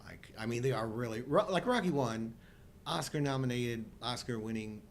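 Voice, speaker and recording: A man's voice: mid-range voice, expressive, normal speed, slight background noise. A man of about fifty co-hosting a casual film chat, joking and poking fun at himself. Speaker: co-host of a live show.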